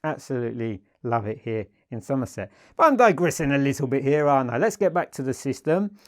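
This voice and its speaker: A man's voice: medium pitch, a little mellow. Enthusiastic British man presenting products. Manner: enthusiastic, emphatic, repeats superlatives. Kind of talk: informal product presentation to camera.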